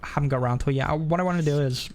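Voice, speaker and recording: A man's voice: a little high, racing, darkish tone, a little hiss or hum. A man chatting casually on a live game stream, rambling and often interrupting himself.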